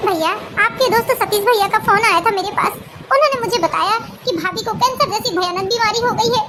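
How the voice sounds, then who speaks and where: A very high voice with clear background noise, a woman playing a devoted young sister-in-law in a cartoon family drama. She is earnest and emotional, caring and reassuring. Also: a little fast. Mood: hurt. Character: caring, loyal young sister-in-law, hurt yet comforting.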